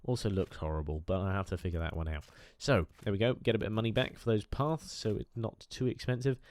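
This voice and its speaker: A man's voice: lowish voice, fast, darkish tone, no echo. A man with a cold, nasal and chatty, talking over gameplay with lots of fillers. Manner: chatty, enthusiastic, rambling with fillers. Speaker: gaming YouTuber. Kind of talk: casual game commentary.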